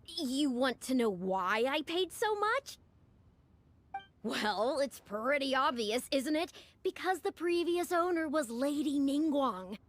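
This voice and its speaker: A woman's voice: sits high, expressive, slow pace. An actress voicing a naive, bubbly young woman who bought at an auction in a game hoping to get rich. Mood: chirpy. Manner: bright, chirpy, self-assured. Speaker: auction buyer. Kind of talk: game voice acting.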